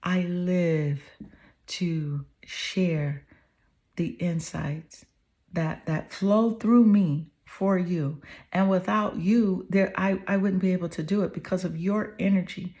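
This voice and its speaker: A woman with a slightly hoarse, mellow, warm tone gives a tarot reading straight to the listener. She speaks slowly and earnestly, repeating her affirmations and checking in with the listener often. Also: on the low side, steady tone. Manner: earnest, affirming, repeats phrases with "okay?" and "you know". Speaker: tarot reader. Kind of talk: tarot card reading to the viewer.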